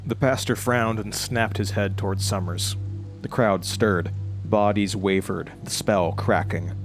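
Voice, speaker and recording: Low-voiced man narrating horror over music. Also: steady tone, measured pace. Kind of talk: scripted horror audio drama narration. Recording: prominent music behind.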